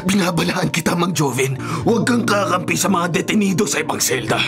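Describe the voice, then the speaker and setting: A man's voice: sits high, lively intonation, brisk, music in the background. An actor playing a hot-headed, threatening male prison gang member in a Filipino radio drama, commanding and confrontational.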